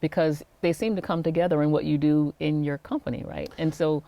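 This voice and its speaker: A woman hosting an interview series, reading a scripted intro and then asking questions in a measured, attentive way. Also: low voice, fairly even intonation, mellow. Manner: measured and attentive, frequent affirming murmurs. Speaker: interview host, executive coach.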